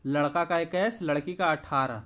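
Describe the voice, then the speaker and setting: A man's voice: on the high side, normal speed, fairly bright tone. A man coaching exam students, explaining quiz answers in an instructive way and addressing viewers familiarly. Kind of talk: quiz walkthrough lesson with explanations.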